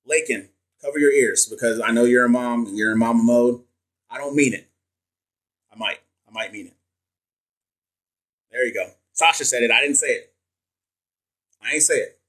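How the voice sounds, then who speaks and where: A man's voice: medium pitch, moderate pace, pauses often, a bit bright. A man hosting a casual livestream as a nursing exam coach, answering viewers in loose, joking slang with frequent restarts. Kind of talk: casual livestream chat reading viewer comments.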